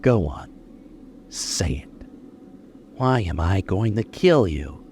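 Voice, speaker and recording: An actor playing a lonely, menacing killer in a 1940s-style radio suspense drama, talking in slow, colloquial tough-guy speech. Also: sits low, animated, a little mellow, slight background noise, exaggerated voice. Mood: cold, intense.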